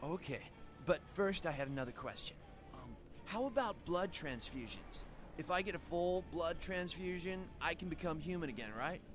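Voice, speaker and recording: A man's voice: a little high, animated, normal speed, background noise, natural voice. An actor playing a gullible, anxious man in a video game, hesitant and naively earnest. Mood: anxious, hopeful. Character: gullible, anxious male wannabe ex-vampire. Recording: crowd murmur behind.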